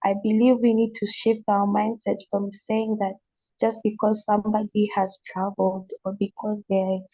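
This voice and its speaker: A Zambian woman with a slight mixed accent, speaking earnestly on a panel, pausing and restarting as she thinks. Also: mid-range voice, little pitch movement, lo-fi sound. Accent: slight non-Zambian accent from family. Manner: earnest, thoughtful, halting with restarts. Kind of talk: panel discussion.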